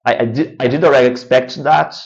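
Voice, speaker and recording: A man's voice: mid-range voice, fairly even intonation, fast talker, gravelly, dull audio. A man with a Brazilian Portuguese accent, a language-learning vlogger talking casually to camera, rambling and often adding "right?". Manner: rambling, frequent "right?" tag questions.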